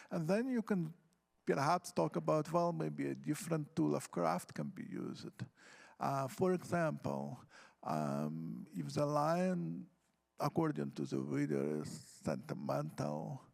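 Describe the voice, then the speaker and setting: Gravelly man, fairly high voice. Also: sing-song, measured pace, darkish tone. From Ukraine. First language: Russian. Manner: earnest, explains with examples and questions to himself. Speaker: poet on a panel. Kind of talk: reflective talk on poetry craft. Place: conference hall stage.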